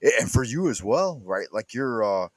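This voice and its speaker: A man's voice: mid-range voice, big pitch swings, moderate pace, no room sound. A middle-aged man hosting a casual talk, rambling and prone to interjecting. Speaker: show host. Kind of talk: casual chat.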